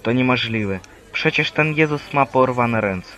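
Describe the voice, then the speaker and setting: A man playing a poor, humble friar in an animated religious play, speaking earnestly and plainly. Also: medium pitch, a little slow. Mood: disparaging.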